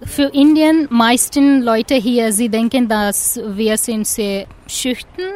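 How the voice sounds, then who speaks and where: Slow-speaking woman. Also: a little high, fairly even intonation, a little mellow. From India. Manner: careful, halting non-native German. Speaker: interviewee. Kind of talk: casual interview answers.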